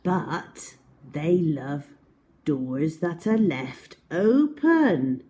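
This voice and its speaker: Slow, very animated woman storyteller. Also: low-pitched. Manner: dramatic pauses and emphasis, addresses young listeners. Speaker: children's storyteller. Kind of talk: expressive picture-book reading for young children.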